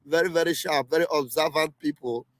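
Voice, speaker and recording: A man's voice: fairly high pitch, fairly quick, no room sound. Emphatic man hosting a livestream. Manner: emphatic, repeats himself often. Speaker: livestream host. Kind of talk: casual livestream commentary. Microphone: home livestream setup.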